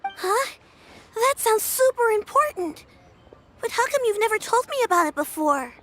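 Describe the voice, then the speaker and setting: Very high-pitched, caring child. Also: female voice, big pitch swings, normal speed, fairly bright tone, heavily acted. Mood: polite, hesitant. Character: polite, caring, resilient young child devoted to granny. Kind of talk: video game voice acting.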